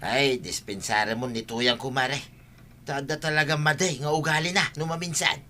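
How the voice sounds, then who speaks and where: A man's voice: medium pitch, steady tone, normal speed, sharp tone, lightly acted. A man acting an exasperated village husband in an Ilocano comedy radio drama, pleading and exclaiming, with an Ilocano accent.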